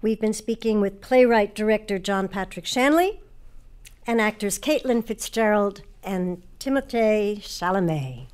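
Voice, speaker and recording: A woman's voice: mid-range voice, lively intonation, unhurried, a little mellow, clean tone. A woman hosting a radio show, reading prepared questions and announcements. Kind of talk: scripted hosting and interviewing.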